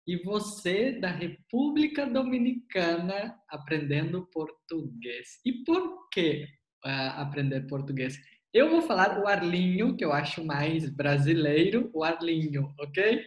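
A man interviewing a student about an online language course. He talks in a casual, animated way, exclaims often and repeats key words. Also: fairly high pitch, measured pace, roomy sound.

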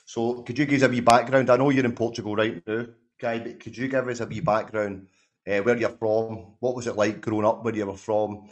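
A man's voice: fairly low pitch, little pitch movement, a little fast, highs cut off. A man hosting a podcast interview, friendly and laid-back. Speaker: podcast host. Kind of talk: casual interview talk.

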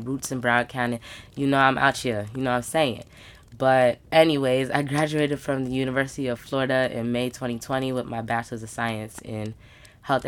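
A woman in her twenties hosting a solo podcast, talking in a casual, slangy, upbeat way with emphatic asides. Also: very deep voice. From United States. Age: 20s. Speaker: podcast host.

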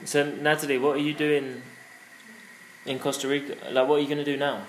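A man's voice: a little high, level tone, a little fast. A man interviewing a traveller, asking curious follow-up questions in a casual chat. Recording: echoey room with faint noise.

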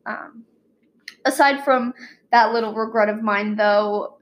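Young woman co-host, slightly high voice, laid-back and filler-heavy. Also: slow pace, muffled recording. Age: young adult. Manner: relaxed, fills with "um" and "like". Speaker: student co-host. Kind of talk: casual podcast chat.

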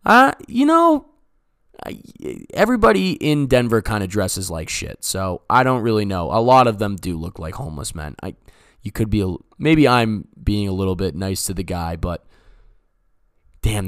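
A man's voice: on the low side, big pitch swings, moderate pace, fairly bright tone, no room sound, clean studio sound. A man hosting a casual solo comedy podcast, talking in a rambling, jokey, sweary way like a stand-up. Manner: rambling, profane, jokey self-interrupting delivery. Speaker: podcast host.

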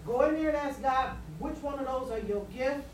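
A woman's voice: high-pitched, brisk, darkish tone. A woman evangelist urging a congregation earnestly, often repeating her words as she speaks. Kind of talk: exhortation at a church service. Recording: distant, echoey, noisy church room.

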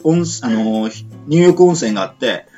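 A man chatting casually as a radio host, telling things in an animated way and acting out reactions. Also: mid-range voice, moderate pace, highs cut off. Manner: animated storyteller, acts out imagined reactions. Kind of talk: casual chat. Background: background guitar music.

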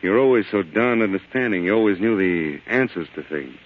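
A man's voice: low-pitched, lively intonation, fairly quick. A man playing a weary, troubled husband in a 1940s American radio drama, speaking in a subdued, hesitant, polite way. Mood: rueful. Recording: muffled old-time radio recording.

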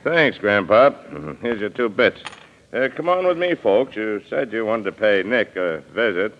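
Fat hoodlum: deep, gravelly, slyly genial threat, swooping delivery, vintage radio crime play, muffled. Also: male voice, animated, unhurried. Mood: falsely genial. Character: menacing, falsely genial big fat male gangster. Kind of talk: old-time radio drama acting. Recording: muffled old radio recording with reverb.

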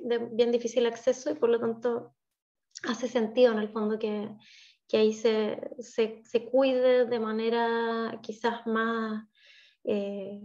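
A woman's voice: a little high, darkish tone. A woman giving a talk on wetlands, thoughtful, with many restarts and fillers, in distant, dull lo-fi audio. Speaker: invited speaker on wetlands. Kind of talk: presentation in an online talk.

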